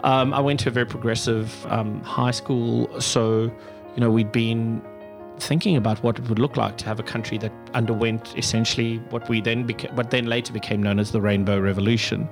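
South african guy, middle-aged, lots of ums and restarts, soft music behind. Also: medium pitch, level tone, fairly quick. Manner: thoughtful, many fillers and restarts. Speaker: executive director of a pride center. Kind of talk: interview answers.

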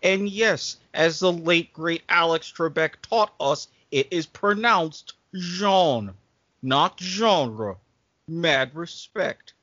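Male panelist, unhurried delivery with lively swings in pitch, casual chat, dry sound. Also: dry recording. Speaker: podcast panelist. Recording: dull, lo-fi close sound.

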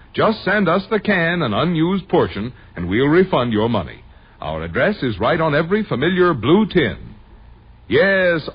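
A man delivering a polished 1950s radio advertisement as an announcer, with emphatic, deliberate phrasing. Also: medium pitch, husky. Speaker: commercial announcer. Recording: muffled old radio recording.

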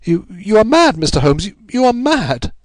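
Distraught posh english lady, done by a guy reading a story, high voice, pleading, breathless, swoops around a lot. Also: animated, no room sound, studio quality, lightly acted. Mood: frightened, indignant. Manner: breathless, melodramatic, halting repetitions. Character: distraught, pleading upper-class married Englishwoman.

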